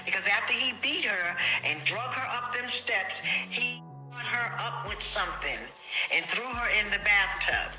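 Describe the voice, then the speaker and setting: A woman's voice: mid-range voice, sharp tone. A woman giving an impassioned spoken account, emphatic and insistent, repeating phrases for weight. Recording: echoey room, music underneath.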